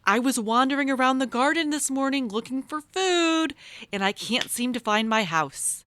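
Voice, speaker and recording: A woman's voice: sits high, expressive, normal speed, fairly bright tone, pitched above the performer's own voice. A woman voicing a small, friendly male mouse in a children's storybook, earnest and plucky. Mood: friendly, lost.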